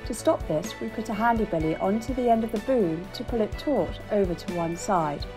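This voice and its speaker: A woman reading calm, explanatory voice-over narration for a sailing video. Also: mid-range voice, fairly quick. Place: voice-over, not recorded on deck. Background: music.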